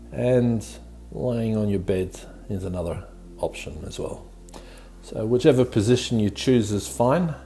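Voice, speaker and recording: Slow, low-voiced man guiding meditation. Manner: soothing, gently encouraging. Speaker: guided meditation host. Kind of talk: slow guided meditation instruction. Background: background music.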